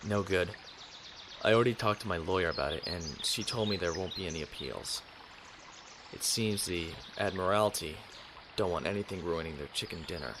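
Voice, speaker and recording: A man's voice: deep voice, moderate pace, background noise, close to an everyday voice. A man playing a conflicted, honorable young naval officer in a sci-fi audio drama, earnest and brooding. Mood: resigned, bitter.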